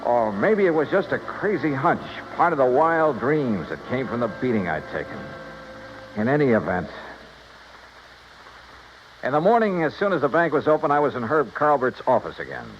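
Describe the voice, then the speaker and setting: A man's voice: medium pitch, very animated, moderate pace, close to an everyday voice. An actor playing a tough, dogged male investigator with clipped, slangy talk in a 1950s radio detective drama. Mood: brooding narration. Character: tough, dogged male insurance investigator narrating his case. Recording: muffled, noisy old radio recording.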